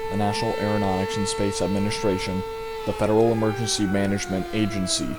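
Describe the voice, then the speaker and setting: A man performs a grave official spokesman in a fictional emergency broadcast. His voice sits fairly low, and his delivery is formal and measured. Faint noise runs behind the announcement. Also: natural voice. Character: grave male government spokesman delivering a disaster alert.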